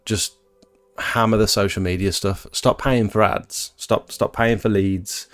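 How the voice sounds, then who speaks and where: A British man in his thirties chatting informally and rambling as he hosts a casual live stream, reading out comments and answering them. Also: lowish voice. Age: 30s. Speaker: livestream host, exterior cleaning tradesman. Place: small home bedroom doubling as an office.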